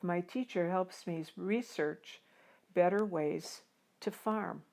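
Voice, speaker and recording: A woman's voice: on the low side, vocal fry, darkish tone. A woman voicing an earnest, determined teenage farm boy who tells his own story in a heartfelt storybook reading. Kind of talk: dramatic first-person storybook reading.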